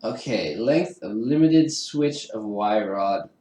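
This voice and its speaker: A man's voice: lowish voice, expressive, slow, warm tone, roomy sound. Guy live-streaming a build at home, chatty, jokes at himself, voice bouncing round the room. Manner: chatty, self-deprecating, laughs often, talks to viewers. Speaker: livestreamer building a 3D printer kit. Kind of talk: casual live-stream chat while assembling. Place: home room with a garage downstairs.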